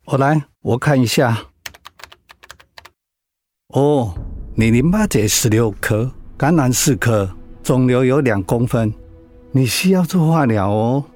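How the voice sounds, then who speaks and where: An actor playing a calm, matter-of-fact cancer doctor in a radio drama, measured and clinical but reassuring. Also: male voice, mid-range voice, soft background music.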